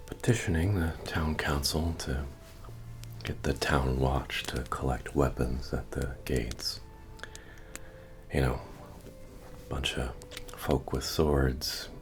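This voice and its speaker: A man playing a friendly, humble medieval innkeeper and retired adventurer in a soft-spoken, folksy ASMR fantasy roleplay, with hesitant murmuring. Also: heavily acted. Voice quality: deep, gravelly, often breathy or whispered. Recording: music under the voice. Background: medieval bard music.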